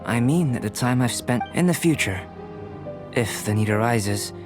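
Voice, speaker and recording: Soft-spoken scholarly alchemist voiced by a man for a game, calm and measured. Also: mid-range voice, music in the background, lightly acted. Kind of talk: video game voice acting.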